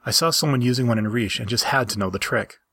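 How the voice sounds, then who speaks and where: A man's voice: mid-range voice, quick pace, bright, forceful tone, husky, no echo, clean studio sound. A man voicing a young, playful, innocent furry male in a story reading. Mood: pleased.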